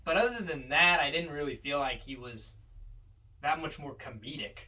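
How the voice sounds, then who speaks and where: A man with a bright, forward voice on the higher side chats casually about films as a podcast co-host. He is recorded slightly away from the microphone. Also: moderate pace, far from the mic. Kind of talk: casual film discussion. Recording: set back from the mic.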